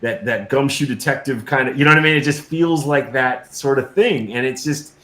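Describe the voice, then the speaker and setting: A man's voice: medium pitch, fairly quick, fairly bright tone. A middle-aged man being interviewed casually, talking effusively and emphatically and often stammering over repeated words. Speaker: guest, science fiction creator and designer. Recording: roomy echo, noticeable background noise.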